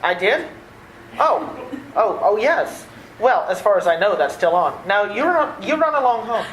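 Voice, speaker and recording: A woman playing a harried, exasperated young nephew and theater critic, halting and repetitive, in old-time radio theater style. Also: medium pitch, sing-song. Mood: flustered, dismissive. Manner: halting, harried, repeating himself. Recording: echoey, faintly noisy stage recording.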